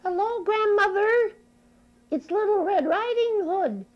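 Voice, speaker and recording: Man playing a high, sing-song storybook girl, polite and cheerful. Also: unhurried, muffled recording. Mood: wondering. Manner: bright, sing-song, wide-eyed.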